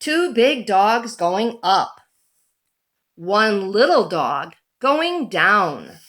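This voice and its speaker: A woman reading a children's picture book aloud in a deliberate, animated storytelling manner. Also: mid-range voice, background noise.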